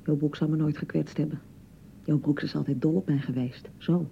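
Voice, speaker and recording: A woman's voice: low voice, steady tone, brisk, creaky, dark tone, a little hiss or hum. A woman acting a touchy, hurt and unsure young newlywed bride in a radio drama, defensive and hesitant in manner. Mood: sulky, defensive. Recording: dull, slightly muffled 1990 radio broadcast.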